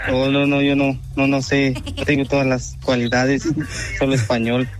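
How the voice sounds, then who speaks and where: A man's voice: mid-range voice, monotone, normal speed. Hesitant young man from Guatemala. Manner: short, hesitant answers. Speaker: caller, prank target.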